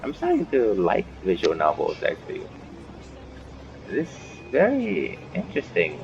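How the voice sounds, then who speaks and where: A man's voice: low-pitched, huge pitch swings, a little slow, vocal fry. A man giving casual playthrough commentary as host of a gaming video, on a dull recording. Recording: dull microphone, faint background noise.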